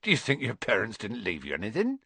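Brief: good-natured giant, gruff and homely, swinging tone, a man reading him. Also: lowish voice, huge pitch swings, fast talker, pushed and bright, no room sound, exaggerated voice.

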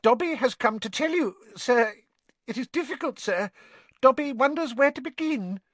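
A reader voicing a small male house elf in a storybook, high-pitched and squeaky, earnest, deferential and easily distraught. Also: expressive, moderate pace, fairly bright tone, no room sound. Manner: deferential, speaks of self in third person.

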